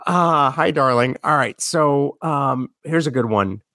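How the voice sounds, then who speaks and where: A man's voice: mid-range voice, measured pace, sharp tone, no echo, clean studio sound. A man in his thirties co-hosting a podcast, chatting casually and reminiscing, now and then talking to viewers in the live chat. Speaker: podcast co-host.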